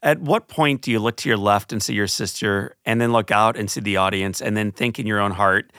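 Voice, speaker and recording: A man hosting a podcast interview, asking measured, thoughtful questions. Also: on the low side, a bit bright, clear, resonant tone, no echo, clean studio sound. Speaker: interview host.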